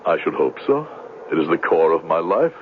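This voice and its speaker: A pious, smooth-talking conman posing as a missionary in a radio play. His delivery is solemn and swoops widely in pitch, with theatrical hesitations. It comes through a thin, noisy old broadcast. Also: big pitch swings, normal speed, narrow, tinny audio. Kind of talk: 1970s radio drama acting.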